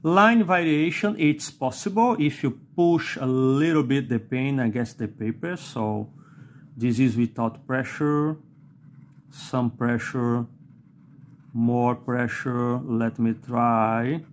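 Middle-aged Brazilian man, non-native English, unhurried desk product review, halting delivery, faint noise behind. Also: mid-range voice. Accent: Brazilian Portuguese. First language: not English. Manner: slow and halting, with many "uh"s and a questioning "okay?". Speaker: fountain pen reviewer. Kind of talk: unscripted product review while writing. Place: indoors at a desk.

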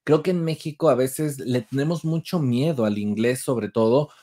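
A young man with a Mexican accent, co-hosting a gossip chat over a video call from a room at home, animated and mocking as he laughs. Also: mid-range voice, normal speed. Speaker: co-host.

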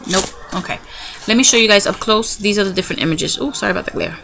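A woman's voice: medium pitch, quick pace, gravelly. Gushing woman vlogger showing craft supplies. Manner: gushing, excitable, chatty. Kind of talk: casual show-and-tell unboxing. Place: home room by a window. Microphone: camera in front of her, hands in frame. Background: TV and children's noise.

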